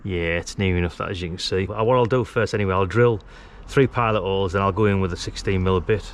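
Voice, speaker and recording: A British man with a fairly low voice talks through a practical job step by step. His delivery is informal and chatty, like an easygoing DIY presenter. There is some noise behind him in the on-location recording. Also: fast talker. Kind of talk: casual hands-on how-to commentary. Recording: clearly noisy on-location recording.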